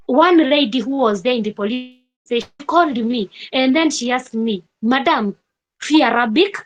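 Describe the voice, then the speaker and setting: A young Kenyan woman telling a personal story in a vlog, with animated emphasis and repeated rhetorical questions. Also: on the high side, rough recording. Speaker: vlogger.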